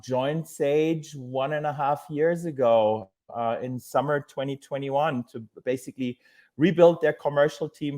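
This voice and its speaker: A man's voice: medium pitch, darkish tone. A man in his forties from Germany with a German accent, a hotel executive talking as a podcast guest in loose, conversational storytelling full of fillers. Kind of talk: casual podcast interview.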